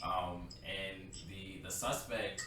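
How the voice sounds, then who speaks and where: A man's voice: sits low, fairly even intonation, slow, sharp tone. A man in his twenties co-hosting a podcast, talking in a blunt, opinionated, casual way and laughing easily. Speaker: podcast co-host. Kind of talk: casual chat. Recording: very echoey room, far from the mic, faint noise.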